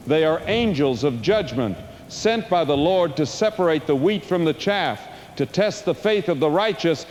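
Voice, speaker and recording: An actor playing a stern apocalyptic preacher, delivering a solemn, exhorting fire-and-brimstone sermon in an audio drama. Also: male voice, on the high side, darkish tone, lightly acted. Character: stern apocalyptic small-town male preacher. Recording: faint background noise.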